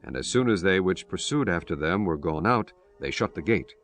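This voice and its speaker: Solemn low-voiced male narrator. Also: animated, moderate pace, fairly bright tone, faint music behind. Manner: solemn, formal. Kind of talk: solemn scripted scripture narration.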